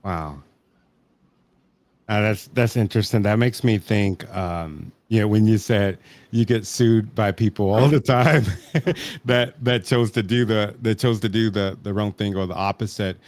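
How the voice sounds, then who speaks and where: A man with a fairly low voice hosts a podcast, reacting with a string of admiring "wow"s. His questions come out in restarts and trailing phrases, recorded close and dry with no room sound. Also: a little slow, darkish tone, no echo. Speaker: podcast host. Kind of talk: casual interview talk.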